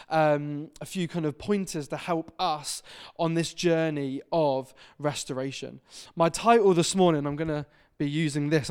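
A man's voice: on the high side, a little mellow, clean tone. A man in his thirties from Devon preaching a sermon in a church, chatty and self-deprecating. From England (Devon). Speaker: visiting preacher. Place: church hall.

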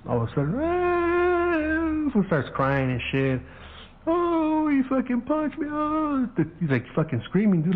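Laid-back man on a phone-quality line. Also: mid-range voice. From Southern California. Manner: laid-back, profane street slang, acts out quoted voices. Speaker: podcast host. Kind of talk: casual storytelling monologue.

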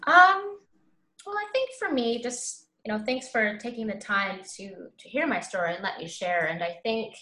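A woman's voice: on the high side, lively intonation, moderate pace, bright, forceful tone. A woman guest on a podcast speaking earnestly and encouragingly, candid and a little profane. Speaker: podcast guest, recovery advocate. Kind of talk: casual interview chat. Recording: roomy echoey sound.

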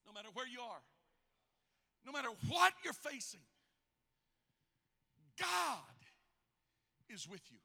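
A man preaching a fervent sermon as a pastor, with a raspy, strained voice and an emphatic, repetitive, exhorting delivery. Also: very high voice, big pitch swings, takes it slowly, long pauses, bright, forceful tone. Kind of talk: fervent Pentecostal-style sermon.

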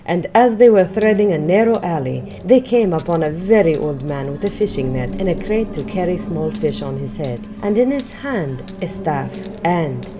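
A woman's voice: lowish voice, animated, moderate pace, few pauses, over music. A woman narrating an audio drama in a scripted, archaic storybook style.

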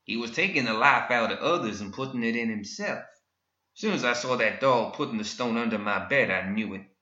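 A man voicing a gruff, cantankerous old townsman in a story, with slow, folksy, grunting speech. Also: mid-range voice, pushed and bright, lightly acted. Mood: grim, vindicated. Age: older. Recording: roomy, slightly dull recording.

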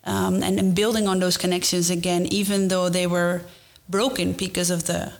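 A woman's voice: on the low side, little pitch movement, normal speed, vocal fry. Hesitant woman moderating a panel. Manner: hesitant, searching for words with fillers. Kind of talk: panel discussion.

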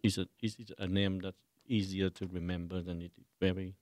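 A man from Vietnam with a Vietnamese accent, a priest answering interview questions haltingly, searching for words. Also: sits low, little pitch movement, darkish tone, no echo. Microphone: studio microphone.

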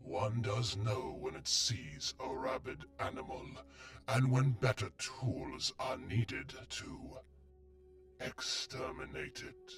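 An actor playing an arrogant, wrathful, ancient undead machine lord, pompous and scornful, in a science fantasy audio drama. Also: male voice, medium pitch, takes it slowly, creaky, a bit bright. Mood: cold contempt. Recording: music behind the voice.

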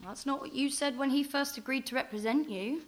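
An actress playing a plucky, brash and impatient action heroine in a radio play. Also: female voice, high-pitched, a little fast, lightly acted. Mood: anxious, challenging. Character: plucky, bolshy action heroine of adventure novels.

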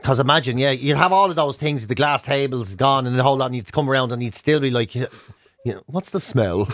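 A man's voice: medium pitch, brisk, a bit bright, dry recording, studio quality. An Irish man hosting a radio show with casual, joking, self-deprecating banter.

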